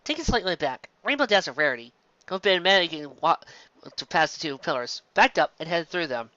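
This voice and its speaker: A man reading fan fiction aloud as an amateur narrator, expressive and occasionally stumbling over words. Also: fairly high pitch, a little fast, no room sound, dull audio.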